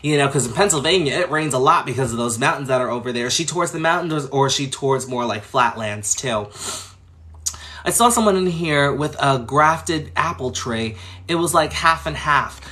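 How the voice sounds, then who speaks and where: A man from Long Island, New York, chatting casually on a livestream as host, talking fast and gushing expressively as he answers viewers. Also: medium pitch, animated, sharp tone. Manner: fast talker.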